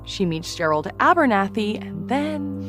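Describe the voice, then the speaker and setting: A woman playing an earnest, prim investigative podcast host, narrating and interviewing in a measured, composed way. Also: medium pitch, big pitch swings, music in the background. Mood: measured, serious. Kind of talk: scripted true-crime parody narration.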